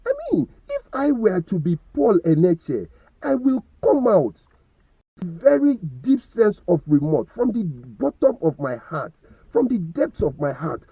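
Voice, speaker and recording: A man's voice: sits high, big pitch swings, unhurried, gravelly, husky, dry recording, muffled recording. A Nigerian man giving impassioned opinion commentary, firing rhetorical questions and stumbling over repeated words.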